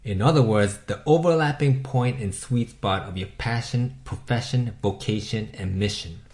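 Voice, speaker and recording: A man with a Japanese accent, a native Japanese speaker, narrating a scripted motivational voice-over in a measured, instructive way and putting questions to the listener. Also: lowish voice, a little mellow. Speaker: self-help video host.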